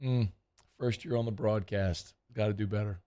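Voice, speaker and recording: A man's voice: fairly low pitch, fairly even intonation, normal speed, mellow, smooth. A man hosting casual sports talk radio, chatty and rambling, his voice worn and strained. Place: radio studio.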